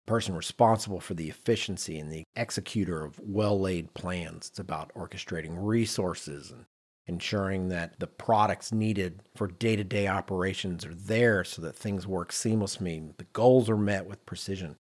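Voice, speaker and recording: A man's voice: low voice, normal speed. A man hosting a podcast in a conversational, emphatic, self-deprecating way, moving between chat and read-out notes. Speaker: podcast host.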